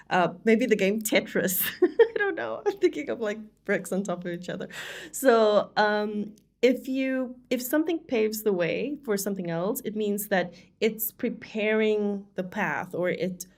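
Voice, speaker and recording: A woman co-hosting an English-learning podcast. She explains phrases with everyday examples and thinks out loud as she goes. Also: mid-range voice, lively intonation, a little slow. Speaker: English teacher and podcast co-host. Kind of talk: casual teaching chat.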